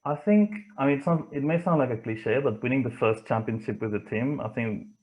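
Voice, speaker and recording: A man from Spain with a Spanish accent, giving reflective interview answers in a thoughtful, hesitant way with frequent pauses. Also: medium pitch, darkish tone, low-quality recording. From Barcelona area, Spain. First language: not English, from Spain. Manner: hesitant, thoughtful, pausing often. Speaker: interview guest, motorsport engineer.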